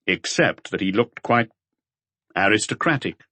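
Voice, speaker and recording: A man voicing a brooding middle-aged police detective in an audiobook, measured and matter-of-fact. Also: lowish voice, animated, bright, forceful tone, no echo, full character voice.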